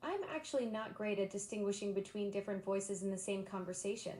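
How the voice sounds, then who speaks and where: A woman's voice: mid-range voice, quick pace, darkish tone, echoey room. A synthetic female voice assistant talking in a bubbly, enthusiastic, eager-to-please way. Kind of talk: upbeat synthetic assistant chat.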